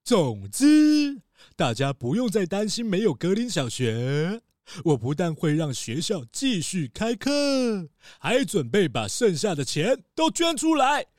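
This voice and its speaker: Lively, earnest old recycling collector. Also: male voice, high voice, measured pace, dry recording, clean studio sound, heavily acted. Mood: rousing. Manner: earnest, emphatic, rousing. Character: humble, determined old recycling collector, kindly town alumnus. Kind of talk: children's radio drama acting.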